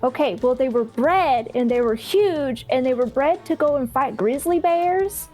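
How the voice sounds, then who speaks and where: High voiced lady rambling excited ideas, faint music under it. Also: measured pace. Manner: eager, playful, rambling ideas. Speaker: tabletop game player. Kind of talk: in-character casual banter.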